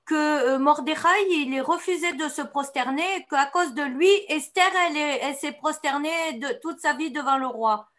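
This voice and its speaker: Female student in an online class; high voice, halting and hesitant; video call. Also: fairly bright tone. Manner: hesitant, searching for words. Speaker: student asking a question.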